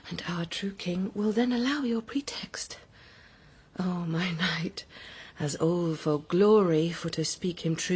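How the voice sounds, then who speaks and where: Noble queen, low voice, very slow pace, breathy, intimate, solemn, urging her knight, verse radio drama. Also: darkish tone, exaggerated voice.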